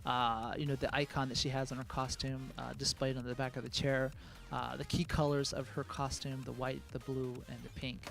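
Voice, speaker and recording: A man giving a live product presentation as a game producer, speaking in a relaxed way full of "uh"s. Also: brisk, creaky, few pauses, soft background music. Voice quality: calls his own voice high. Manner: relaxed, filler-heavy speech with many "uh"s.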